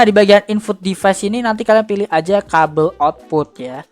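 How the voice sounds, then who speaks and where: Young adult man, chatty tutorial host, slangy informal delivery, faint background music. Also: fairly high pitch. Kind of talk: casual software tutorial.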